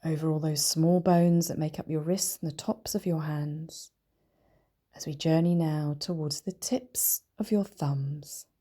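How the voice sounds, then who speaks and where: A woman guides a meditation in a low, mellow voice. Her tone is soft and breathy, close to a whisper at times. She speaks gently and soothingly, leaving unhurried pauses between phrases. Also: steady tone. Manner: gentle, soothing, slow and deliberate with long pauses. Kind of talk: guided meditation, body scan.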